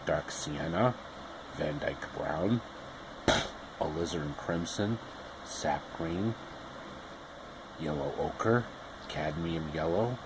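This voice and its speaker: A gentle TV painting instructor, murmuring very low and breathy. He sounds folksy and soft-spoken, with faint noise behind him. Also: slow pace, long pauses, heavily acted. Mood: soft, soothing.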